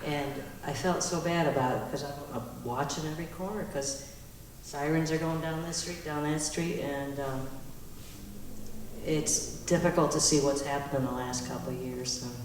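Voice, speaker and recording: A woman's voice: sits low, steady tone, normal speed. A woman talking informally, putting a question in a tentative, musing way. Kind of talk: informal question from personal experience. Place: echoey meetinghouse hall. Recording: echoey hall, distant mic, faint noise.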